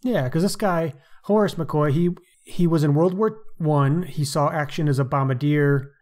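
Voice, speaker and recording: A man's voice: fairly high pitch, moderate pace, darkish tone. A man co-hosting a podcast, talking casually and wryly about books with slangy asides. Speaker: podcast co-host. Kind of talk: casual book discussion.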